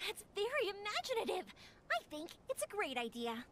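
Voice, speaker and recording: A woman voicing a bright, friendly, energetic young shopkeeper in an anime game, expressive and encouraging. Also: very high voice, a little fast, slight background noise, exaggerated voice. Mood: upbeat, encouraging.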